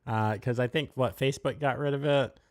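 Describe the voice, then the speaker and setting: Guy co-hosting a live chat show, blunt and opinionated, tosses in quick asides. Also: medium pitch, fairly even intonation. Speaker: podcast co-host. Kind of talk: casual political talk show chat.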